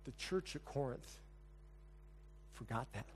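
A man's voice: mid-range voice, expressive, slow, a little hiss or hum. A pastor closing a sermon and leading a prayer in church, earnest, building his lines in repeated phrases. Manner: earnest, repetitive praying cadence.

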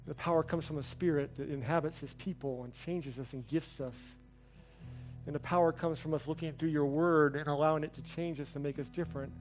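A man's voice: on the high side, fairly even intonation, a little fast, soft background music. Earnest preacher man praying. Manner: earnest, repetitive praying cadence. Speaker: pastor. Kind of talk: sermon closing in prayer. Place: church.

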